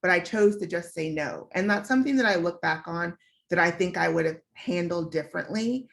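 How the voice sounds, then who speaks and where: A woman's voice: on the low side, brisk, vocal fry. A young woman from the United States telling a personal story on a panel, in a candid, reflective way with frequent fillers.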